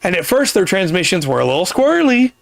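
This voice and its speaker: Gravelly man explaining at length. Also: high-pitched, very animated, dry recording. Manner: explains at length, pauses and restarts. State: voice getting worn. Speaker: podcast host. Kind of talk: casual podcast explainer.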